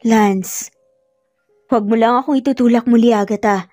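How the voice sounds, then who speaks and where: High-voiced hurt, reproachful young ex-girlfriend, played by a woman. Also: normal speed, warm tone, no room sound, studio quality, faint music behind, lightly acted. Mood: hurt, guarded. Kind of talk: dramatic romance storytelling.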